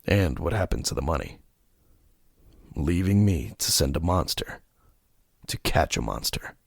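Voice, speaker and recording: A grim crime-fighting detective played by a male actor, narrating and speaking in an audio drama. The voice is very low and full of fry, terse and brooding, recorded dry. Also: big pitch swings, a little slow, dry recording, exaggerated voice. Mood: stern, terse. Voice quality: gravelly, husky.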